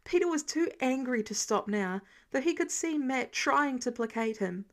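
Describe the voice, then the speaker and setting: High-voiced woman narrating fiction. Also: animated, normal speed. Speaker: podfic narrator. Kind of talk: expressive scripted fiction narration.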